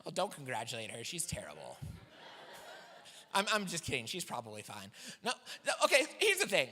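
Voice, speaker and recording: A young man doing a stand-up set in a church hall, with a high voice for his size and a self-deprecating, animated way of telling stories. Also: brisk. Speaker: stand-up comedian. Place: church hall with live audience. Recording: long hall reverb from a church stage.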